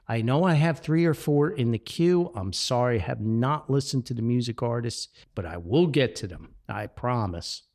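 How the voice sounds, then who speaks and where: A man hosts a casual podcast. His mid-pitched voice moves up and down in a very animated way. He talks in a rambling, folksy monologue, full of effusive asides. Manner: effusive, exclamatory, folksy, digressive. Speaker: podcast host.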